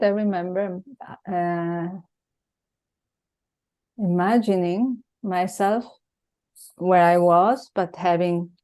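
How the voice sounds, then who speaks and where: Woman w spanish accent, italian native, slow low mellow voice, kinda thoughtful, video call host vibe. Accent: Spanish, Venezuelan mix. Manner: reflective, soft, searching for words. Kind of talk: unscripted heartfelt conversation.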